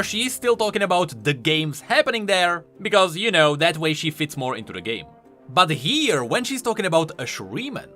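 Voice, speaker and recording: A man's voice: on the high side, pushed and bright. A man giving scripted, explanatory video commentary on game lore, stressing key words emphatically.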